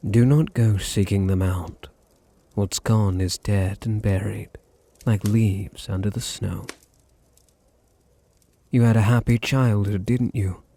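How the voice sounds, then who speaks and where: A man playing a possessive, teasing vampire master in an intimate ASMR roleplay, with a deep, husky British voice and a languid, condescending manner. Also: steady tone, slow, pauses often, dark tone, dry recording. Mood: brooding. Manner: languid, condescending, purring endearments.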